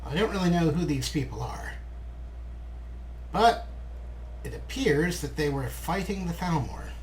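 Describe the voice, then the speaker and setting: Animated man doing game commentary, higher-pitched. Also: a little slow, slight background noise. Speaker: let's play host. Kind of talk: gameplay commentary.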